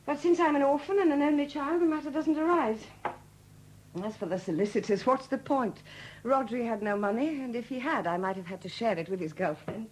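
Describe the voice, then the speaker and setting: An actress playing a wry, bitter widow with a husky, expressive voice in a 1970s radio drama. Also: female voice, fairly high pitch, fairly quick, a little hiss or hum, lightly acted, low-quality recording. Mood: wry, sardonic. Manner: sardonic, expressive.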